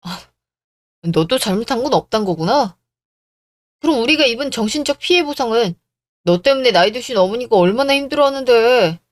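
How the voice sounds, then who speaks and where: A woman's voice: fairly high pitch, expressive, a little fast, dry recording, natural voice. A woman voicing a whiny, self-pitying young man in a radio drama, pleading and blaming. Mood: aggrieved.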